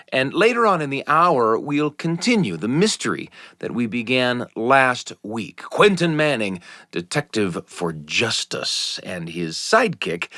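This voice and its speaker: A man's voice: medium pitch, bright, forceful tone, no room sound, clean studio sound. An American man hosting a podcast, introducing stories in a folksy way with dramatic emphasis. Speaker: podcast host. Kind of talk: warm-up narration introducing stories. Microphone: close studio microphone.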